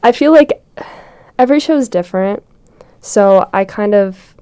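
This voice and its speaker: A teenage girl, a student actor, describing her character in a relaxed way with some fillers. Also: medium pitch, a little slow, smooth. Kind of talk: casual interview answers.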